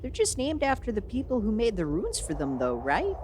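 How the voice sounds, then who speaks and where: Woman acting young trained mage, highish voice, swooping intonation, wary, questioning, excitable, fantasy audio drama. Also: fairly quick, slight background noise. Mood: wary, skeptical.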